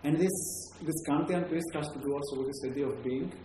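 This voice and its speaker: A man's voice: on the high side, a little fast, mellow. A man with a Greek accent giving an academic talk to a live audience, hesitant, with many fillers and restarts. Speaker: lecturer. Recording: very echoey room, faint background noise.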